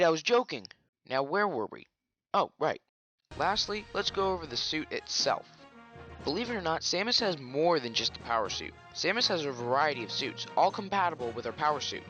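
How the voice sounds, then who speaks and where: A man's voice: mid-range voice, fairly bright tone. A man giving scripted commentary for a gaming video, sarcastic and profane, with ranting asides.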